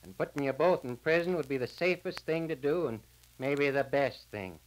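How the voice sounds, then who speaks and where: A man's voice: medium pitch, moderate pace, close to an everyday voice. Actor as solemn, earnest small-town man, crackly radio drama. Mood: stern. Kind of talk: 1940s radio drama acting. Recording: crackly 1940s radio transcription disc.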